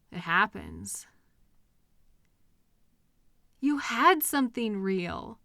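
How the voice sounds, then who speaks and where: A woman's voice: medium pitch, no echo, clean studio sound. A woman playing a caring partner in an intimate comfort roleplay, speaking tenderly and expressively straight to the listener. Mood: gentle, reassuring. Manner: tender, expressive, with soft pauses and questions. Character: caring, gently indignant woman comforting her upset partner. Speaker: audio roleplay performer. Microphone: close microphone in a dry room.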